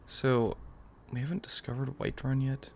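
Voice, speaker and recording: A man's voice: medium pitch, fairly even intonation, moderate pace, darkish tone, a little hiss or hum. A tired young man giving casual, subdued, rambling let's-play commentary late at night. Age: young adult. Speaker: gaming YouTuber.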